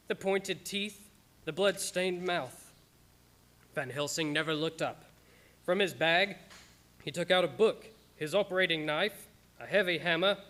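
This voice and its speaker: A haunted, earnest doctor played by a man narrates his grim diary in a radio play. The voice is forceful and bright, a little high for a man. The delivery is formal, measured and theatrical. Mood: horrified.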